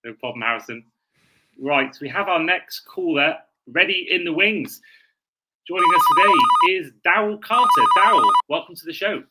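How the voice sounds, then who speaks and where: A man's voice: sits high, moderate pace, background noise. A man hosting a sports podcast in a casual, conversational way. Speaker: podcast host. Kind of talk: casual sports talk, introducing callers.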